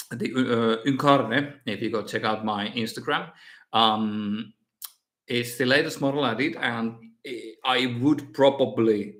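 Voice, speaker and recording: Man, Finnish accent, Finnish native speaker, casual live stream host, mid-pitched voice, hesitant, lots of um and uh. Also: fairly bright tone. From Finland. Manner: hesitant, many fillers and restarts. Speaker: miniature painting streamer.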